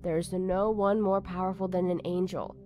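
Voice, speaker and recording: A woman's voice: fairly low pitch, level tone, normal speed, darkish tone, lo-fi sound, faint music behind, lightly acted. An actress playing a cold, commanding woman in authority in a sci-fi visual novel, firm and matter-of-fact. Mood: stern. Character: cold, commanding female authority over angels. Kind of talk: scripted visual novel voice acting.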